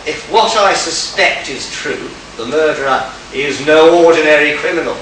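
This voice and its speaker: A man playing a stern, shrewd detective with deliberate, declamatory delivery in an amateur radio play, recorded from a distance in an echoey, noisy space. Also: on the high side, a bit bright, lightly acted. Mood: grave, deliberate. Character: stern, shrewd male detective accusing murder suspects.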